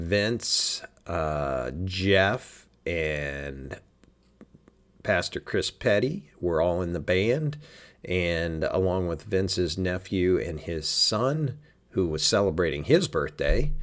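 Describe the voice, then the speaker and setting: Hoarse, slow-talking man. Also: sits low, animated. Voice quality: raspy. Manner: easygoing, chatty, with many fillers. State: hoarse from a sinus cold. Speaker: podcast host. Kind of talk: casual reflective church podcast.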